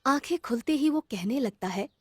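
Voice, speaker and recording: A woman's voice: high voice, expressive, normal speed, a little mellow. A woman reading scripted narration for a children's moral story.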